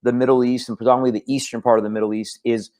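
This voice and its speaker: Earnest Iran-born man with halting restarts. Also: mid-range voice, level tone, a little mellow. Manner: earnest, many restarts and stammered repeats. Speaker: guest. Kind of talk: casual talk show conversation.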